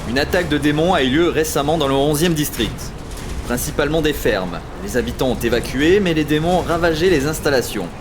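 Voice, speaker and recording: A man playing a brisk, bossy crew supervisor in an audio drama. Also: fairly high pitch, expressive, background noise, natural voice. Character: brisk male work crew boss. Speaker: work supervisor.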